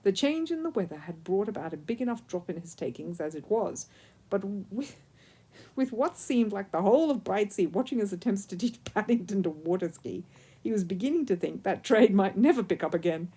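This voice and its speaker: A woman reading a children's story aloud as an expressive narrator. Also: mid-range voice, fairly quick, husky. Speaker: storyteller, audiobook reader.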